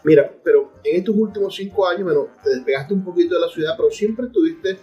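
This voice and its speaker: A man's voice: sits high, quick pace. A man with a Venezuelan accent hosting a conversational literary interview on a radio program. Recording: distant, muffled mic with music.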